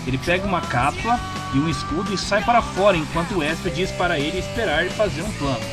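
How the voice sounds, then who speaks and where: A man's voice: fairly high pitch, lively intonation, fast. A man with a Brazilian accent reading a scripted retelling of a comic story as narrator. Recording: music bed behind the voice. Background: clear background music.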